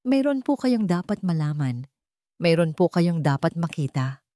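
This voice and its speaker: A woman's voice: on the low side, sing-song, a little slow, mellow, no echo. A woman narrator acts as a determined female household employee in a storybook drama. She speaks respectfully but presses her point with urgency. The sound is dry and close. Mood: urgent, firm. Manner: polite but insistent.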